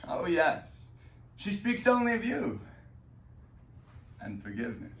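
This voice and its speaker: A man playing a brooding, vengeful barber who is sly and menacing, moving from hushed threats to sudden shouts. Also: high-pitched, wildly swooping pitch, a little slow, heavily acted. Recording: echoey room, distant mic, faint noise.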